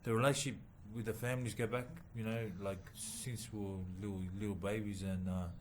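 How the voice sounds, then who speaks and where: A man from New Zealand, a boxer, answering interview questions haltingly with many pauses and fillers. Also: lowish voice, fairly even intonation, a little hiss or hum. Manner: halting, many ums and uhs, soft-spoken. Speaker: boxer and former rugby league player, guest. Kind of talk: casual interview.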